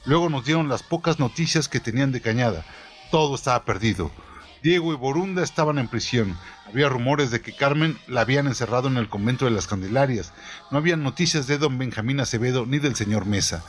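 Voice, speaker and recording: A man with a medium-pitched voice narrates a story. His delivery is measured and dramatized, in the manner of a first-person audiobook. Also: lively intonation.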